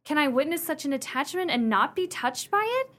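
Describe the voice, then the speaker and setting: A woman's voice: high-pitched, expressive, brisk, fairly bright tone. A woman playing a haughty, melodramatic young lady torn between suitors, in a theatrical comic period radio play. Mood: swooning, lovestruck. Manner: theatrical, grandly indignant.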